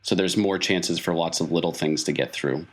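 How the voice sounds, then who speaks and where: A man playing a weary, cryptic circus clown who explains things gravely in a tabletop game. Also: low voice, fairly even intonation, moderate pace. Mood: explaining.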